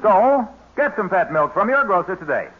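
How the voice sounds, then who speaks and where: A hearty American radio salesman with a teasing, jovial streak. His delivery is highly animated, with sweeping pitch. The old recording is muffled and noisy. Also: normal speed. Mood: salesmanlike. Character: jovial, smooth-talking visiting salesman who teases friends. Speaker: announcer pitching the sponsor. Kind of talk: 1940s radio comedy acting and ad pitch.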